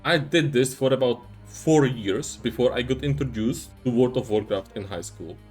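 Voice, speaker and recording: Young man, measured and earnest, faint music underneath. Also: mid-range voice, darkish tone. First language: Czech. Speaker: YouTuber. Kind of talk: scripted commentary.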